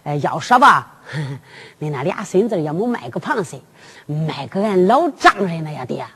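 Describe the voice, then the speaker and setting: A woman storyteller playing a cheeky, blustering young fisherman with a Henan accent and a folksy, excitable manner. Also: fairly low pitch, moderate pace, slightly rough, pitched below the performer's own voice, full character voice. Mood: cheeky, boastful. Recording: long reverberant hall echo.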